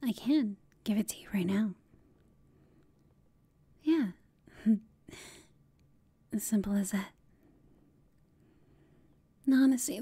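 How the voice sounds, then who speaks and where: Breathy, whispering villainess played by a woman, smugly flirtatious. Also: medium pitch, dark tone, no echo. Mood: smug seductive. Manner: smug, teasing, seductive. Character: confident teasing female villain, flirting with an enemy. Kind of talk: ASMR roleplay, intimate and whispered.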